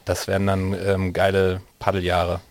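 Flat low-voiced podcast co-host. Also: male voice, normal speed, no echo. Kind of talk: casual podcast chat.

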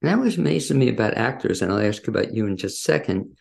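A man hosting a casual interview, asking questions in a halting, backtracking way. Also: medium pitch, lively intonation, gravelly. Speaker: interviewer and host.

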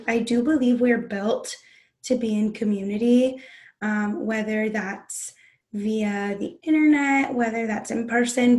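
Hoarse, raspy woman hosting a podcast. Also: on the high side. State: hoarse from a cold. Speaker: podcast host. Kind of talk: podcast interview.

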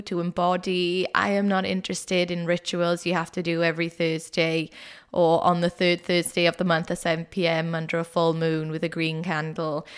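Young woman podcast host, croaky from a cold, chatty solo delivery. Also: on the low side. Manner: chatty storytelling, quick asides.

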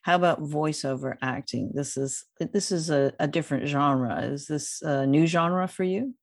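A woman's voice: deep voice, normal speed, vocal fry. A woman hosting a podcast, interviewing a guest in a conversational style.

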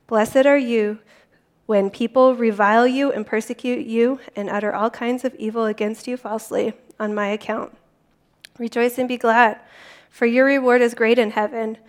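A woman reading scripture aloud in a calm, careful way. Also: a little high. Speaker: volunteer scripture reader.

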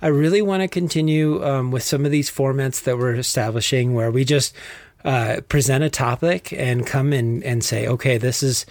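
A man's voice: mid-range voice, fairly even intonation, normal speed, darkish tone, dry recording. A man with a Texas accent co-hosting a faith podcast, chatting in an easygoing, rambling way. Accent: Texan. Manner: easygoing, rambling, laughs easily. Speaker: podcast co-host. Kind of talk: casual podcast chat.

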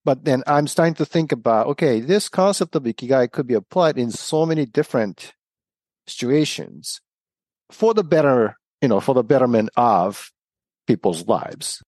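A man of about fifty with a Japanese accent, a native Japanese speaker talking as a podcast guest in a halting, reflective way. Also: mid-range voice, lively intonation, a little mellow, no echo. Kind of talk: casual interview conversation.

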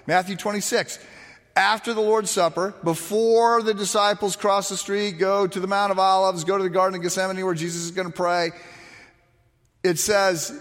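A man preaching a sermon, telling stories lively with fillers and restarts; his voice turns raspy when he speaks quietly. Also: high-pitched, in a large hall. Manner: animated storytelling with frequent restarts and fillers.

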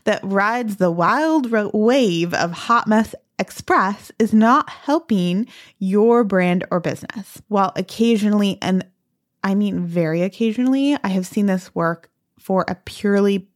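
A woman's voice: medium pitch, measured pace. A woman hosting a solo podcast, giving business advice in a conversational, emphatic way with casual asides. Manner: conversational, emphatic, peppered with "like" and "right?". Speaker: podcast host and branding consultant.

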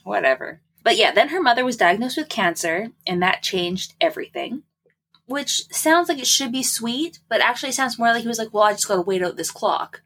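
A woman's voice: on the high side, animated, brisk, fairly bright tone, breathy edge. A woman co-hosting a podcast, casually recapping a book with wry, sarcastic asides. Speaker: podcast co-host.

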